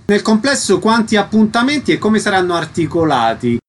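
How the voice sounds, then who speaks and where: A man's voice: high voice, sing-song, fairly quick, slight background noise. A man presenting on radio as host, reading announcements and asking short questions.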